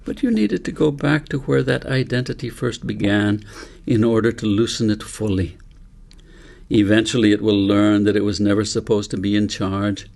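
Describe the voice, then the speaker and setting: A man voicing a wise, patient old grandfather in a storybook, explaining things in a measured, instructive way. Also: medium pitch, creaky, a little mellow. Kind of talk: storybook reading.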